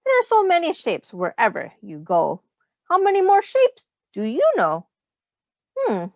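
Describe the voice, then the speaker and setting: A woman reading a picture book aloud to young children as a teacher, explaining simply and pausing to ask questions. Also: sits high, huge pitch swings, dry recording.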